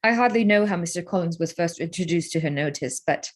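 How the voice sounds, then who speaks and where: Spirited young gentlewoman, played by a woman, forthright. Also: on the low side, lively intonation, quick pace. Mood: earnest, curious. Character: Lizzie Bennet, candid, spirited, indignant young gentlewoman. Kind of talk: staged reading of a period drama.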